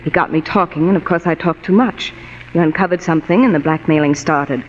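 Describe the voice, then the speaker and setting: A woman's voice: deep voice, fairly quick, lightly acted. A woman acting a seductive, scheming married femme fatale in 1940s radio noir style, coaxing and dramatic. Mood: confiding. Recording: muffled, reverberant, noisy old radio broadcast.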